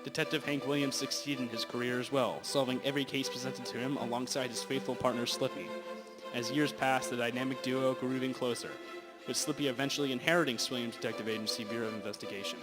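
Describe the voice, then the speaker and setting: A man's voice: mid-range voice, steady tone, quick pace, natural voice, low-quality recording. A young man playing the storyteller of a detective radio drama, reading the script with occasional stumbles. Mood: reminiscing. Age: teenager or young adult. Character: male storyteller recalling an old detective case. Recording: loud music over amateur recording.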